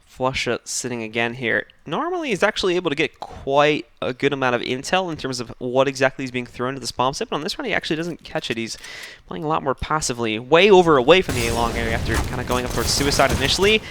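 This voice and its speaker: Male esports play-by-play caster: quick-fire, bright, analytical, faint background noise. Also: mid-range voice, lively intonation, dry recording. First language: English. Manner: rapid, analytical play-by-play with light laughs. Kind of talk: esports commentary.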